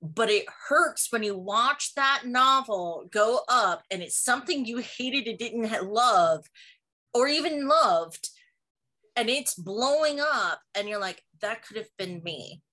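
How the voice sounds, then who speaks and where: A woman's voice: on the high side, expressive, takes it slowly, bright, forceful tone. A woman co-hosting a casual conversational podcast, talking in an emphatic way. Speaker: podcast co-host.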